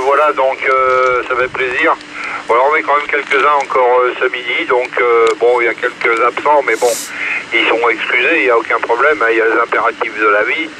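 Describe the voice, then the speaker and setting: A man leading a casual amateur radio exchange over a radio transmission, often repeating little phrases. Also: mid-range voice. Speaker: amateur radio operator leading a weekly contact. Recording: noisy amateur radio reception.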